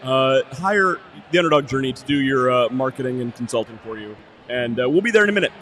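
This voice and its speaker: A man co-hosting a casual business podcast, joking playfully, his voice hoarse and going out. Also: mid-range voice, lively intonation, fast, fairly bright tone, a little hiss or hum. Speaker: podcast co-host. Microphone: cheap soundboard.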